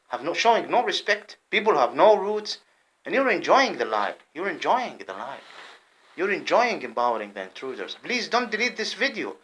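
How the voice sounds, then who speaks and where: Man, fiery monologue to camera, repeats himself, jumps from loud bursts to low mutters, bright and tense tone. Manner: heated, repetitive, swings between loud outbursts and low muttering.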